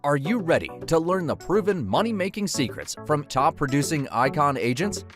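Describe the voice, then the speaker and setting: A man announces a podcast intro in a punchy, salesy promo read. His pitch sits a little high and swings widely. A clear music bed plays under his voice. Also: very animated, normal speed. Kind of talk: hyped promo voice-over.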